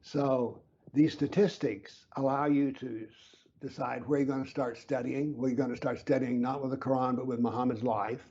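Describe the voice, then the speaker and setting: Emphatic man, mellow voice, dull lo-fi sound. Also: fairly even intonation, moderate pace, hardly any pauses, breathy edge. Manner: earnest, emphatic, drops to a low whisper for emphasis. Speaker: interview guest, author. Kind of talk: conversational interview explaining his views.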